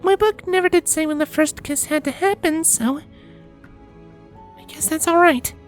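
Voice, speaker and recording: A shy young female pony acted out by a man speaks in a very high, thin falsetto. She is earnest and sweet, softly hesitant, like a storybook romance heroine, with music playing underneath. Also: moderate pace. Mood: accepting, gentle.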